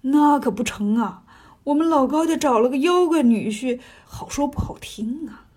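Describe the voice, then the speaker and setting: A woman voicing an anxious old village patriarch in a story, pleading in drawn-out phrases. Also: sits high, lively intonation, a little mellow. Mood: worried objection.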